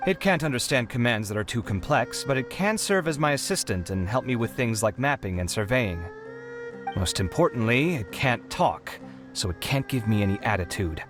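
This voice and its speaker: Put-upon young man inventor male actor, video game; dramatic, long-suffering, medium register, soft music underneath. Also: medium pitch, expressive, normal speed, lightly acted. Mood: explanatory. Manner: exasperated, theatrical, sighing. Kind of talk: animated video game character acting.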